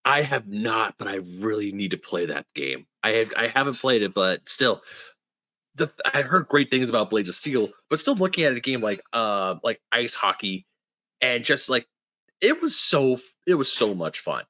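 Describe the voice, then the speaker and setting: A man in his thirties hosts a casual gaming podcast, his bright, forward voice tumbling through stories full of restarts and fillers. The sound is close and dry, with no room around it. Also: on the low side, very animated, normal speed, dry recording. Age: 30s. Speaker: podcast host. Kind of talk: casual chat.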